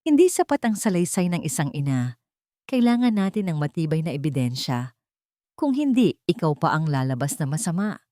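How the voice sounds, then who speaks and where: A woman's voice: sits low, big pitch swings, normal speed, warm tone, no echo, studio quality. A woman voicing a stern, unemotional female lawyer in her forties in a dramatic story, blunt and probing.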